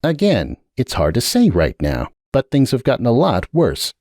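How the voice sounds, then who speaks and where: A man's voice: mid-range voice, huge pitch swings, normal speed, a little mellow, no echo, clean studio sound, voice pushed up. A man voicing an earnest, somewhat awkward adult unicorn wizard who speaks carefully and apologetically in a dramatic audiobook reading. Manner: careful, apologetic, then insistent.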